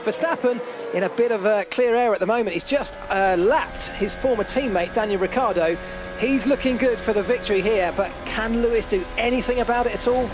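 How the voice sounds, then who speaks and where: High-pitched excited male race commentator. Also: a little fast, over music. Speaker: motor racing lead commentator.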